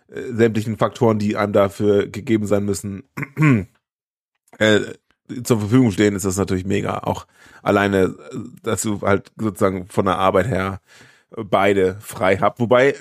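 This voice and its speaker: A man's voice: on the low side, no echo, studio quality. A young man with a cold, co-hosting a podcast in a laid-back way and laughing easily. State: has a cold. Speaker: podcast co-host. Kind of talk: casual podcast chat.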